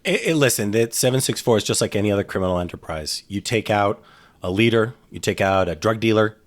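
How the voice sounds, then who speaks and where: A man's voice: fairly low pitch, a little fast. A middle-aged man talking casually in an interview, rambling with frequent restarts and fillers. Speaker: guest, former FBI agent.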